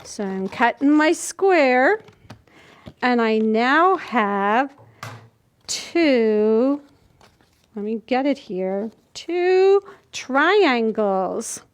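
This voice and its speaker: An older woman with a very high voice leads a storytime for small children. She speaks slowly and in simple steps, demonstrating as she goes. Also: expressive. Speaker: children's library storytime host. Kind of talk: instructional talk to young children. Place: indoors, small plain room. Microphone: camera a few feet away.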